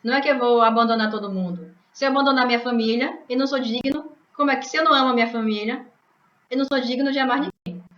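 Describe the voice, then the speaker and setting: A woman's voice: on the high side, fast talker, a bit bright. A woman from Bahia, explaining scripture to a study group over a video call, hesitant and restarting words. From Bahia, Brazil. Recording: echoey muffled call audio.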